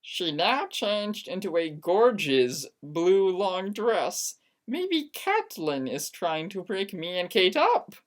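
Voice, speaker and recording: Female story reader, theatrical, exaggerated, unhurried pace, highly animated pitch, dramatic narration. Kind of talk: dramatic reading of fan fiction.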